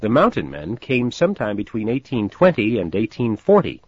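An actor playing an easygoing rancher who tells stories about the Old West in a scripted language-course dialogue. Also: male voice, lowish voice, huge pitch swings, normal speed, a little mellow, slightly rough, no echo, exaggerated voice. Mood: explaining. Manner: easygoing, storytelling. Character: friendly older male rancher recounting Old West history. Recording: dull lo-fi course audio.

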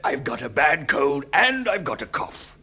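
Husky, bright old Father Christmas with a cold, bumbling and chuckly, slow talker in a cartoon lesson. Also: a little high, sing-song, heavily acted. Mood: befuddled. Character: jolly older male Father Christmas with a cold. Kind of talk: cartoon language-lesson acting.

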